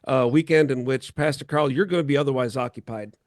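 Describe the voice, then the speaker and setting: A man chats casually as a show co-host, with playful banter and an easygoing joking manner. His voice sits at an average pitch, and the sound is close and dry, with hardly any room on it. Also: fast, dry recording. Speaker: podcast co-host. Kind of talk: casual chat.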